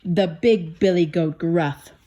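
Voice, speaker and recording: A woman voicing a big, bold storybook billy goat who loudly issues a challenge. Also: fairly low pitch, slow, highs cut off. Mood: defiant. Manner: loud, challenging.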